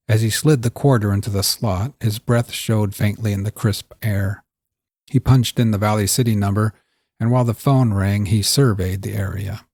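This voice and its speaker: A man's voice: fairly low pitch, darkish tone, no room sound. A man hosting and narrating a scripted fiction story. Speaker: host and narrator.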